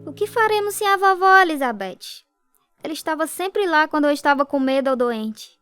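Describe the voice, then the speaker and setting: A woman's voice: high-pitched, expressive, brisk, a bit bright, dry recording, clean studio sound, soft background music. An actress playing an anxious, tender young girl in a Brazilian radio drama, speaking hesitantly and fearfully. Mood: grieving, tender.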